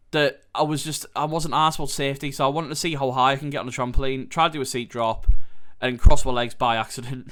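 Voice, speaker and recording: Young British male podcast host, nasal from a cold, smooth clear tone, sweary and rambling confessional delivery. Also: mid-range voice, a bit bright. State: coming down with a cold. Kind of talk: candid solo podcast monologue.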